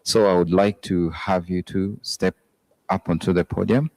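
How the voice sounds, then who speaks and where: A man's voice: low voice, steady tone, moderate pace, a little mellow, no room sound, rough recording. A man working as master of ceremonies at a trade expo, formal and hesitant as he calls up the sponsors. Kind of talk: emcee announcements. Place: event hall.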